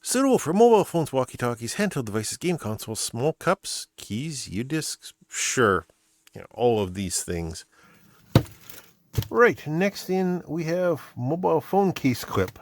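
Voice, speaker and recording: A man's voice: fairly high pitch, big pitch swings, unhurried. A middle-aged man from Canada casually chatting as he opens and tries out items from his mail, thinking aloud as he goes. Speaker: vlogger opening mail. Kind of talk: casual unboxing chat.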